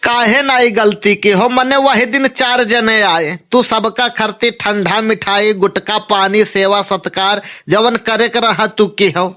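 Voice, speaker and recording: Brief: male actor as exasperated cartoon shopkeeper dad, Awadhi accent, high bright voice, slow complaining delivery. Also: steady tone. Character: scolding village shopkeeper father, exasperated with his son. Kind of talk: amateur comic cartoon dub in dialect.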